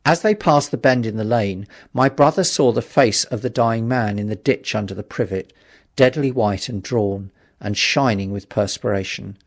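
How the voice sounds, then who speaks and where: A man reading a classic novel as an audiobook narrator, in measured, dramatic storytelling. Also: lowish voice.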